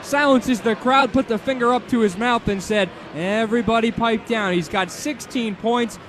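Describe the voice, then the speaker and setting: A high-voiced American man calls live basketball on the radio. He is an excitable, animated play-by-play announcer, and a loud crowd and arena noise fill the space behind him. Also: moderate pace. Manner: animated, excitable sportscaster delivery. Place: indoor basketball arena broadcast position.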